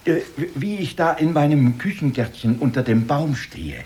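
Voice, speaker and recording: Man playing a rambling poor merchant, animated intonation. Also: fairly high pitch, a little hiss or hum, lightly acted. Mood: nervous, eager. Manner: long-winded, stammering when hurried. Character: humble, rambling, nervous poor Russian merchant. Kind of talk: children's radio play acting.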